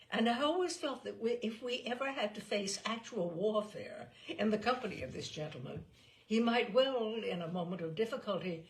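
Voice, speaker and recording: A woman's voice: medium pitch, rough recording. A woman reading literary stories aloud as a storyteller, in a dramatised narration style. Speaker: storyteller, reader of literary selections.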